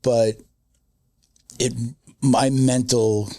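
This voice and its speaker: A man's voice: mid-range voice, steady tone, very slow, mellow, no echo, studio quality. A man in his fifties, a podcast co-host chatting casually, rambling with many fillers and restarts. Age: 50s. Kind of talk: casual chat.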